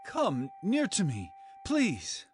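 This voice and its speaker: An actor playing a commanding yet tender brother and governor in a dramatized scripture, speaking in an earnest, declamatory way. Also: male voice, high voice, wildly swooping pitch, drawn-out words, over music, exaggerated voice. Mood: overcome, urgent.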